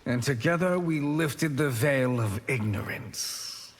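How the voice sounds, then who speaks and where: A man's voice: medium pitch, takes it slowly. A man playing a sly, smug villainous sorcerer in a video game, mocking and coolly amused. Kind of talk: video game character dialogue. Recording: long hall-like reverb.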